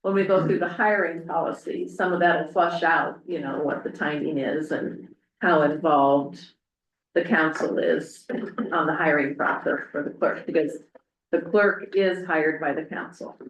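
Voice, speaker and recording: A woman's voice: sits low, steady tone, measured pace, a little hiss or hum. A woman speaking as a city clerk, explaining procedure at a public meeting in a council room. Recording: dull, echoey meeting room audio.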